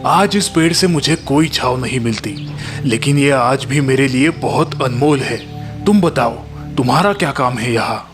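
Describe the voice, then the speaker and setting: A man's voice: medium pitch, animated, natural voice. A man voicing an old forest holy man, grave and admonishing, with a solemn, preachy delivery. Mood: reflective. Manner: solemn, preachy, sometimes slow and verse-like. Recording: music behind the voice.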